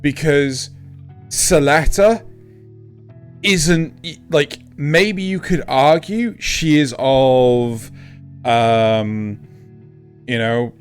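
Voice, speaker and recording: A man's voice: mid-range voice, very slow, pauses often, smooth, faint music behind. A man from Wales with a Kent English accent, co-hosting a casual podcast chat and talking emphatically, often asking "right?". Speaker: podcast co-host.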